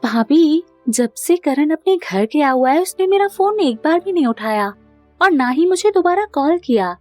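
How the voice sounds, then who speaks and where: Woman voicing high, earnest young woman in love. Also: expressive, moderate pace, a little mellow, no echo, soft background music. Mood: worried, pleading. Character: earnest, anxious young woman in love, pleading sister-in-law. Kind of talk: cartoon family drama storytelling.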